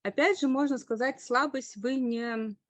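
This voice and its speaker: A woman speaker on a webinar, giving career advice in an explanatory way with frequent pauses and hesitations. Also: high voice, no echo. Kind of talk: online webinar talk.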